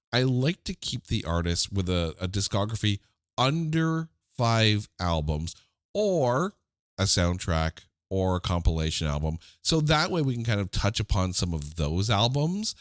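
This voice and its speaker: A man's voice: deep voice, dry recording, clean studio sound. A man with a stuffy, slightly nasal voice hosting a casual music podcast, joking with listeners in mock-dramatic asides. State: congested, under the weather. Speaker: podcast host.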